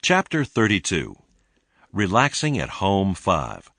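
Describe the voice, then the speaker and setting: A man with a deep voice narrates a language lesson. His delivery is slow and deliberate, with animated intonation, and the sound is close and dry. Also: gravelly, dry recording. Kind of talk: scripted narration, read-along language lesson.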